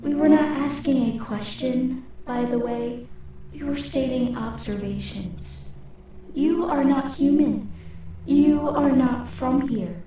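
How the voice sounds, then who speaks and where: A woman's voice: sits high, takes it slowly, dark tone. A woman playing a curious, formal hive-mind creature of many insects in a radio drama, speaking as "we". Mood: probing. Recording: echoing, distant voice with faint noise.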